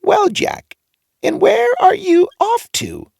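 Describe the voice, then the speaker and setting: A man voicing a funny old trader in a storybook, sly and friendly, with a drawn-out coaxing delivery. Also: very high-pitched, huge pitch swings, vocal fry, darkish tone, no echo, studio quality, pitched above the performer's own voice, full character voice. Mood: friendly, curious. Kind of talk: storybook character reading.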